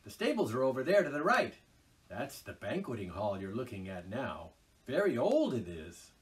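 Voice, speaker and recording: Man playing a brisk friendly water rat, lively sing-song voice, distant mic. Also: medium pitch. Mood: hearty. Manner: brisk, hearty, chatty.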